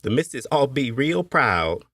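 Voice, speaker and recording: A man voicing an admiring older plantation servant woman, speaking warmly and deferentially. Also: medium pitch, sing-song, unhurried, dry recording, studio quality, voice pushed up, exaggerated voice. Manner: warm, deferential dialect.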